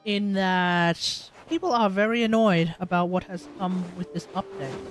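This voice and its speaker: A man's voice: high voice, measured pace, soft background music. An Australian man chatting casually as he hosts a live game stream. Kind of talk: casual livestream chat.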